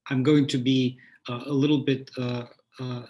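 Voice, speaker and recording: A man's voice: medium pitch, little pitch movement, dark tone, lo-fi sound. A man moderating an online meeting, hurrying to introduce the next speaker. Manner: hurried, moving things along. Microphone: computer mic on a video call.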